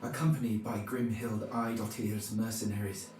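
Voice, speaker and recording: An actor playing a pious medieval monk narrating his journal for a video game in a formal, measured way. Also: fairly low pitch, flat delivery, distant mic, close to an everyday voice. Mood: solemn, reflective. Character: male medieval monk chronicler, pious, solemn. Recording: very echoey, music behind.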